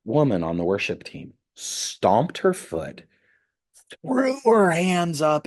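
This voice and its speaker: A man who is a pastor teaching a ministry class, telling candid personal stories in a lively way. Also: a little high. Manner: candid, animated storytelling, voice jumps up when excited.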